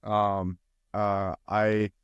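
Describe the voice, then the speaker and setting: A man's voice: fairly low pitch, little pitch movement, drawn-out words, smooth, no echo, muffled recording. A young man from China, a podcast guest chatting casually about college life, with lots of fillers and restarts. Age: young adult. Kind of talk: casual conversation.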